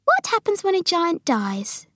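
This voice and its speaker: Lady doing a little girl for a kids story, really high pitched, curious n polite, asks questions. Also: wildly swooping pitch, unhurried, a bit bright, no echo, studio quality, full character voice. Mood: curious, puzzled.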